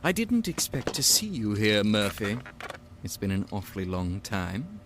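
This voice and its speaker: An actor playing a stern, condescending organization leader in an audio drama, with a sneering, authoritative, mock-polite manner. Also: male voice, lowish voice, sing-song, a little slow, background noise. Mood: mocking politeness.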